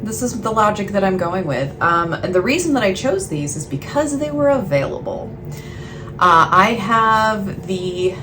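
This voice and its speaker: A woman's voice: mid-range voice, very animated, measured pace. A woman chatting casually to camera as a book vlogger, in a rambling, self-deprecating way, often trailing off mid-thought. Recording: clearly noisy, ambient background sound.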